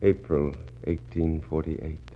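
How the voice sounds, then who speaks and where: An actor playing a weary, bitter, fatalistic Victorian naval officer stranded on an expedition, measured and wry in a 1960s radio play. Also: male voice, extremely low pitch, steady tone. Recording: muffled 1960s radio recording.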